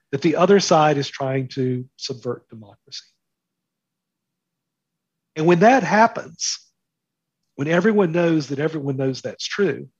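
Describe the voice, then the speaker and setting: A man's voice: fairly high pitch, husky, no room sound, highs cut off. A man speaking as an interview guest, explaining his commentary with analogies, rhetorical questions and emphatic repetition.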